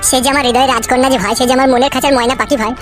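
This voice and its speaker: A woman's voice: high-pitched, fairly even intonation, racing, music in the background, lightly acted. A woman voicing a heartbroken, pleading young husband in melodramatic cartoon dialogue. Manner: plaintive, repeatedly addressing his friend.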